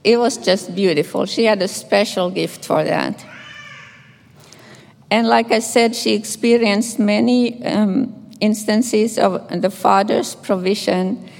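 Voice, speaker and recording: An older woman from Finland with a Finnish accent, a nun reading scripture and giving a gentle, measured memorial tribute. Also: medium pitch, vocal fry. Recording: echoey hall reverb.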